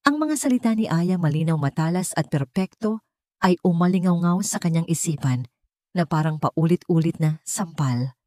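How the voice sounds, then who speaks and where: A woman's voice: lowish voice, expressive, normal speed, mellow, dry recording, studio quality. A woman with a Filipino accent reading a scripted dramatic story as a narrator. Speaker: audiobook narrator.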